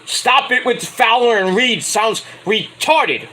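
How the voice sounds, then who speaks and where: Lively, bright-voiced man rambling a review. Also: very high voice, slow pace. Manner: rambling, self-correcting, sarcastic asides. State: getting a cold, voice going. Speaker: wrestling show reviewer. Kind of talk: casual solo review recap.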